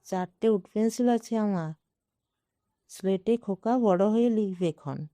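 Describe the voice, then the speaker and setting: Small, crafty niece in an audio story, played by a woman: childlike coaxing, soft-edged and unhurried. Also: mid-range voice, no room sound, clean studio sound, close to an everyday voice. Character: little girl, wily, coaxing niece pleading with uncle.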